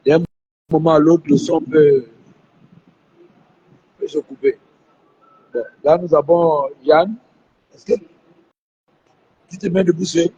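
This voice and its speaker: Man, host, hoarse with flu, dark husky tone, muffled phone sound. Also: fairly high pitch, steady tone, slow pace, long pauses, no room sound. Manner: talks to viewers, repeats himself. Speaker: live stream host. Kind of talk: live phone interview. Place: airport.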